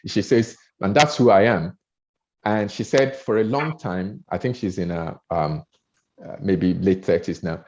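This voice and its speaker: A man's voice: low-pitched, measured pace, darkish tone. A man with a Nigerian accent coaching on public speaking, earnest, often repeating words as he builds his points. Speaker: speaker trainer. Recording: dull lo-fi audio.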